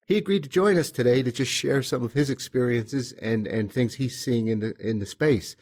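A man's voice: medium pitch, moderate pace, a little mellow. A man hosting a podcast, friendly and asking short questions. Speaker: podcast host. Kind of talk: podcast interview.

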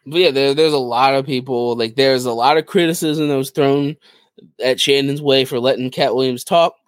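A man's voice: medium pitch, fairly even intonation, normal speed, no echo. A man co-hosting a podcast, casually retelling stories and acting out what people said. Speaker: podcast co-host.

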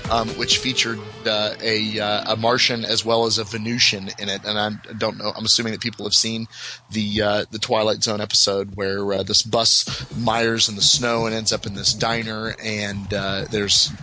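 A man talking casually as an enthusiast podcast guest, rambling and haltingly backtracking as he goes. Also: on the low side, level tone, sharp tone, soft background music, rough recording.

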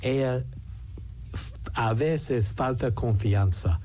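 A man's voice: mid-range voice, dark tone, slight background noise. A man, an English speaker, hesitantly talking as a guest in a casual interview. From United States. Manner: hesitant, halting, many restarts.

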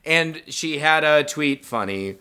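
Drunk-ish guy on a comedy podcast, high voice jumping all over, swears constantly. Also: lively intonation, takes it slowly, bright, forceful tone. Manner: rambling, swears a lot, laughs often. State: tipsy from cider. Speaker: podcast co-host. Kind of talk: crude comedy banter.

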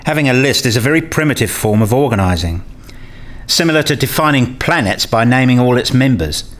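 A man's voice: mid-range voice, expressive, fairly quick, a bit bright, lightly acted. A man playing a wry, bookish academic who narrates and talks in a radio play, with dry, wordy scripted delivery. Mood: conversational. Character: wry male academic narrating his own puzzled days. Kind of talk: scripted first-person narration and dialogue.

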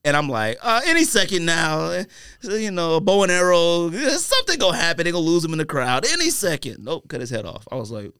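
A man hosting a podcast in casual, jokey banter, telling things in an animated, exaggerated way. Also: on the high side, fast, clear, resonant tone, no echo, studio quality. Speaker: podcast host.

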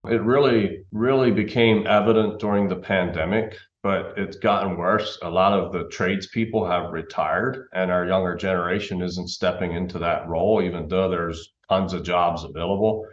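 A man's voice: low-pitched, fairly even intonation, normal speed, few pauses. A middle-aged man explaining things as an interview guest, over a dull, roomy remote connection. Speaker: interview guest, timber framing company manager.

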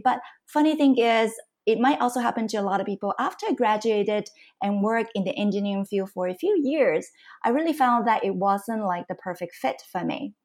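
A woman's voice: mid-range voice, darkish tone. A middle-aged woman who grew up in Canada, speaking as a podcast guest. She tells her life story in an earnest, conversational way, now and then restarting a phrase. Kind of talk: podcast interview.

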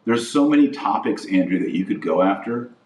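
A man with a fairly low voice delivers a podcast monologue of religious commentary. He is indignant and emphatic, building his points through repetition and rhetorical questions. The room echoes noticeably and he sounds a little away from the microphone. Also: lively intonation, brisk, darkish tone. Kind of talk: impassioned opinion monologue to camera. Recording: echoey room, slightly distant mic.

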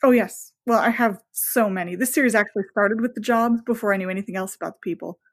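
A woman's voice: medium pitch, fast talker. A woman novelist talking casually as an interview guest, enthusiastic and rambling, often voicing her own thoughts as asides.

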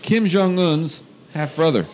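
A man's voice: a little high, unhurried, darkish tone, a little hiss or hum. A man co-hosting a podcast in casual chat, rambling with many uh's and restarts. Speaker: podcast co-host.

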